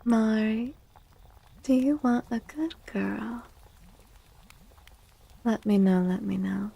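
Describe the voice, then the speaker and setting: A woman livestreamer doing soft-spoken ASMR, gently chatting to viewers in a hushed voice that often slips into whispers, close to the microphone. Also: fairly high pitch, steady tone, slow, creaky, husky. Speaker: livestreamer hosting an ASMR stream.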